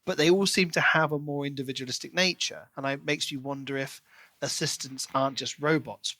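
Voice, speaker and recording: A man's voice: mid-range voice, pushed and bright, low-quality recording. A man co-hosting a podcast, casually discussing a book in a speculative, questioning way. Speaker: podcast co-host.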